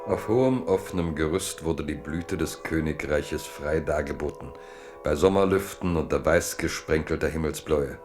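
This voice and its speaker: A man's voice: very deep voice, normal speed, music in the background. A man reading literary narration for a radio play.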